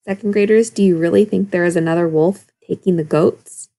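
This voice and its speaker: A woman's voice: fairly low pitch, fairly even intonation, brisk, creaky, mellow. A woman teaching second graders, explaining and addressing the pupils directly during a read-aloud lesson. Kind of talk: instructional read-aloud for young children.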